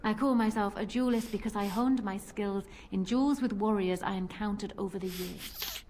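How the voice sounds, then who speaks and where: A woman's voice: medium pitch, normal speed, darkish tone, slight background noise, lightly acted. An actress voicing a confident, flirtatious female pirate captain and duelist in a video game, with a teasing, theatrical manner. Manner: teasing, self-assured, theatrical.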